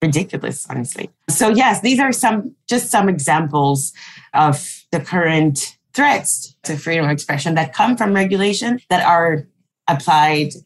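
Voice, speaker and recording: Low-voiced woman advocate. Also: lively intonation. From Cameroon. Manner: measured, explanatory, with self-corrections. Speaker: digital rights advocate. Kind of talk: panel discussion answer.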